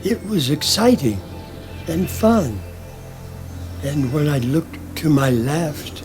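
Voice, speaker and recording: A man narrates a bedtime story at an extremely slow, unhurried pace. His voice is soft and soothing, with a faint bed of music behind it. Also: a little high.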